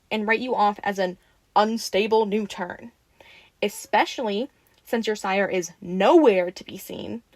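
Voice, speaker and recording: A woman's voice: medium pitch, a little mellow, no echo, close to an everyday voice. A woman playing a teasing, bossy but caring vampire in an audio roleplay, talking informally and expressively to the listener. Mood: serious, warning. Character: teasing, sassy, caring female vampire taking in a newly turned one.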